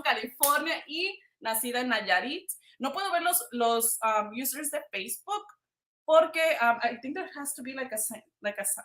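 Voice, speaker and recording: Woman, Mexican accent, high voice, informal livestream host, bilingual switching, echoey room. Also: pushed and bright. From Veracruz, Mexico. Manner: informal, switches between Spanish and English. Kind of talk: casual live chat with viewers. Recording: roomy, echoing livestream sound.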